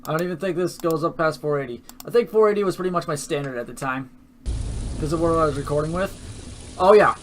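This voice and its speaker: A man's voice: on the high side, fast, slight background noise. A young man casually commenting with profanity, mocking his own old videos.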